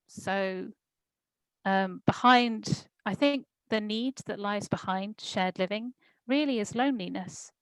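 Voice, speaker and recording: A woman speaking at a conference, answering questions in a thoughtful, measured way with frequent pauses and ums. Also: medium pitch, no echo, low-quality recording. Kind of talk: Q&A discussion.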